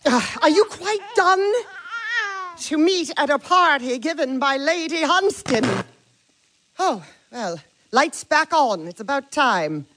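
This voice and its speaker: A haughty, stage-struck aunt in a radio play. Her voice sits fairly high and sweeps widely up and down. She speaks grandly and is easily affronted, yet indulgent. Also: animated, slow pace, fairly bright tone, a little hiss or hum, heavily acted. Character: haughty, theatre-loving spinster aunt, dramatic and indulgent. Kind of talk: stage-style audio drama acting.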